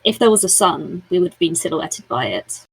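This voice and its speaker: Husky, creaky-voiced woman joking casually. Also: lowish voice, expressive, fairly quick, poor audio. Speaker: tabletop role-playing player.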